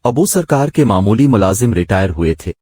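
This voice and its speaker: Male story narrator, low and husky register, scripted moral-tale reading, very dry sound. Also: sing-song, moderate pace, warm tone, no room sound.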